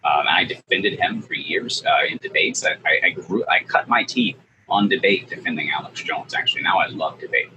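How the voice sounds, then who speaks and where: A man speaking as an interview guest in a monotone. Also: deep voice, brisk, lo-fi sound, background noise. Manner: monotone, forceful. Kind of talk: interview conversation.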